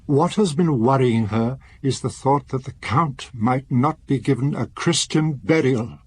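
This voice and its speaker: A solemn priest in a radio play, husky medium voice, very polite and formal, careful wording. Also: male voice, medium pitch. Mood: grave, courteous. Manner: formal, deliberate, courteous. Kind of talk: radio drama acting.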